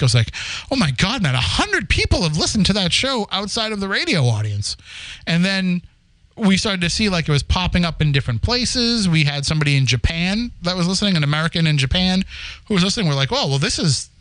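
A man's voice: on the high side, a bit bright, no room sound, rough recording. A man in his forties, originally from the Boston area, hosting a podcast and telling its story in a chatty, rambling way. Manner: storytelling, chatty with frequent restarts and laughs. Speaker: podcast host. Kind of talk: casual chat.

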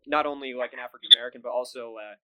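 Give me a male interviewer with a sharp voice, average pitch. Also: steady tone, smooth, dry recording. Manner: measured, asks with "you know". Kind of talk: interview questions.

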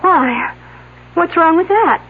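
A woman's voice: very high-pitched, lively intonation, takes it slowly, full character voice. An actress playing a terrified, pleading young woman in a dramatic 1970s radio play. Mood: alarmed. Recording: tinny, noisy 1970s radio recording.

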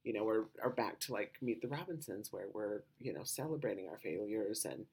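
A woman with a low voice hosting a podcast, talking in a rambling, casual way with lots of "you know" and "um" asides. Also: expressive, fairly quick, darkish tone, breathy edge. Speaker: podcast host.

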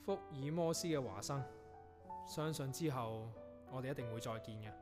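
A man's voice: mid-range voice, normal speed, over music, close to an everyday voice. A man acting in a Cantonese radio drama as a young, reflective composer, with a Hong Kong accent and an earnest, literary delivery. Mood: wistful. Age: young adult. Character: young male avant-garde composer, reflective and restlessly inspired.

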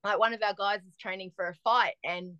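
A woman, chatting as a podcast guest and telling lively stories with frequent restarts. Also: medium pitch, fairly quick, smooth, no room sound, highs cut off. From Australia. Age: 40s. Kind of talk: casual chat.

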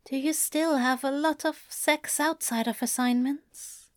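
A woman voicing an anxious, earnest male angel with a hesitant, halting delivery in an audiobook-style story. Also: high voice, lively intonation, pitched above the performer's own voice. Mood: quiet, nervous.

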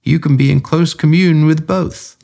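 A man reading aloud voices a sharp, authoritative detective with a dry wit. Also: fairly high pitch, steady tone, moderate pace, voice pushed up. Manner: crisp, authoritative. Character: sharp, commanding male detective, dry humor.

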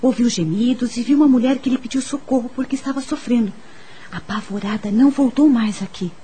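A woman's voice: on the high side, a little fast, mellow, a little hiss or hum, lightly acted. A woman playing a talkative, superstitious household servant in a Brazilian radio drama. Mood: confiding.

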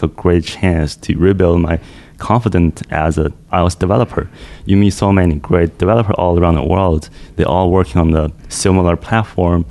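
Low, mellow man from China. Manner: loose phrasing, frequent fillers. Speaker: guest developer. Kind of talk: casual interview chat. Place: conference venue.

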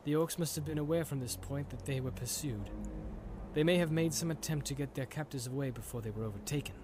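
A man's voice: a little high, fast talker, noisy background, lightly acted. Aragorn, a grave ranger leader, read by a man.

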